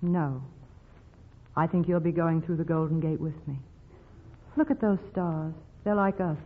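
A woman's voice: sits low, measured pace, husky, big-room sound. An actress playing a brave, romantic young woman with a secret doom, in 1940s radio drama style. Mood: wistful, tender. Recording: old phone-like radio recording with music.

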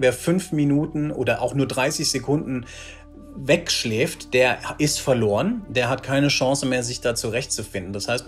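A man in his forties talking casually and enthusiastically in an interview over a video call, often restarting his phrases. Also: medium pitch. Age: 40s. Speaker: audio drama writer, interviewee. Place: small bright room with bookshelves, indoors.

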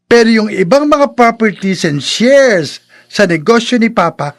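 An actor playing a greedy, scheming illegitimate son with falsely polite, coaxing speech in a Filipino radio drama. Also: male voice, sits high, lively intonation, unhurried, dry recording, clean studio sound. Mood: smug, firm. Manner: polite coaxing with stammered repetitions.

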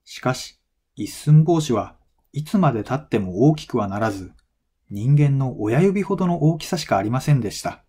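A man reading a folktale as a scripted narration for language learners, in measured, clearly phrased storytelling. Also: medium pitch, lively intonation, dark tone.

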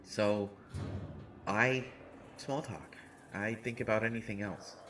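A man's voice: lowish voice, natural voice. A man voicing a short teenage boy who jokes nervously and pokes fun at himself in a listener-directed audio roleplay. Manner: rambling, self-mocking, nervous humor. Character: short teenage boy, joking and self-deprecating.

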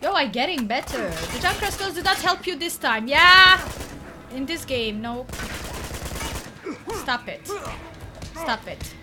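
Young woman gaming live on a headset, high voice swinging all over, kinda hoarse, yelling at the screen. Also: slow pace, long pauses, music in the background. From Bosnia and Herzegovina. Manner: excitable, shouts and laughs at the action. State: voice partly lost. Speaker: streamer playing a game live. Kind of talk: live game commentary.

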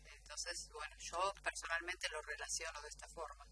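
A woman's voice: low-pitched, very animated, normal speed, bright, forceful tone. An Argentine woman answering conversationally as a radio guest, reflective and often seeking agreement. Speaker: radio guest, member of a theatre group. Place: small radio studio. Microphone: studio desk microphone. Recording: clear background noise.

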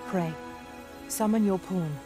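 A woman's voice: mid-range voice, takes it slowly, close to an everyday voice. A woman playing a deferential otherworldly guide in a fantasy game, speaking formally and ceremoniously. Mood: solemn, welcoming. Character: solemn, deferential robed female otherworldly guide. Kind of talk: fantasy game voice acting with archaic speech. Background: music.

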